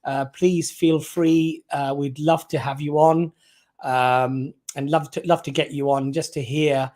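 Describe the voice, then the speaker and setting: A man hosting a livestream, speaking in a rambling, casual way with many filler pauses. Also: fairly high pitch, fairly even intonation, darkish tone. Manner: filler-heavy, rambling, friendly. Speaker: livestream host.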